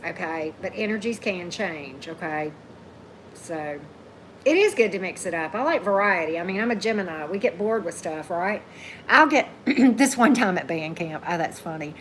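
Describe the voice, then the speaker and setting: A woman's voice: a bit bright, rough recording. A woman chats through a livestream. She talks casually, answers viewers by name and often ends a thought with "right?". A faint hiss of background noise sits under her voice. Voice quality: deep voice. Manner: chatty, keeps asking "right?", greets commenters by name. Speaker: tarot reader hosting a livestream.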